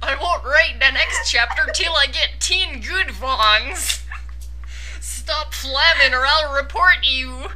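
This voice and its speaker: A woman's voice: high-pitched, slow, background noise, voice pushed up. A woman voicing a defensive teenage girl fan fiction writer, whiny, indignant and drawing out her words.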